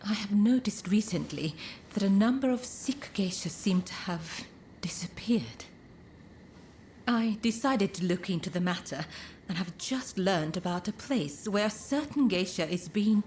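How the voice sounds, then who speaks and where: An actress playing a gentle, courteous woman of a Japanese pleasure district in a video game, speaking softly and formally in measured, breathy phrases. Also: medium pitch, a little hiss or hum, close to an everyday voice. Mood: concerned, discreet. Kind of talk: scripted dramatic game dialogue. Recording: music behind the voice.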